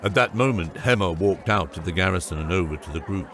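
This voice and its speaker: A man's voice: low-pitched, expressive, fairly quick, fairly bright tone, faint music behind. A man narrating a scripted fantasy audiobook.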